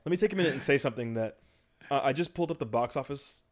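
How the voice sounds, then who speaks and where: A man's voice: medium pitch, expressive, very fast. Man joking in casual podcast banter. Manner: joking, rambling banter, often trails off. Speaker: podcast co-host.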